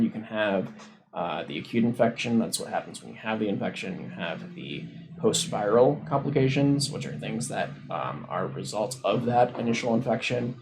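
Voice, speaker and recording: Low-voiced man thinking aloud, noisy livestream. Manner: halting, informal, thinking aloud. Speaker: science livestream host. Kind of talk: casual explainer, reading a research paper aloud and commenting. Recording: noticeable background noise on livestream.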